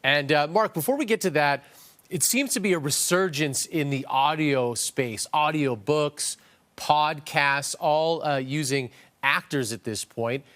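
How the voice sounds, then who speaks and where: A man's voice: on the high side, a little slow, fairly bright tone. A man hosting a broadcast interview with a polished presenter's delivery. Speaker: TV news interviewer.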